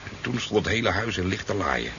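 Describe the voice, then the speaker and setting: A man's voice: sits low, sing-song, fairly quick, creaky, full character voice. An actor playing a talkative, easygoing sport fisherman in a 1970s radio drama, telling his tale in a hesitant, rambling way. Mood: animated storytelling. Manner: hesitant, rambling storytelling with stammers. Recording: dull, noisy 1970s radio recording.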